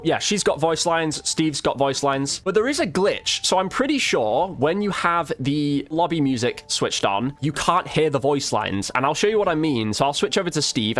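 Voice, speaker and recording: A man's voice: mid-range voice, fairly bright tone. A man giving casual, informal game review commentary as a video host, chatting directly to his viewers.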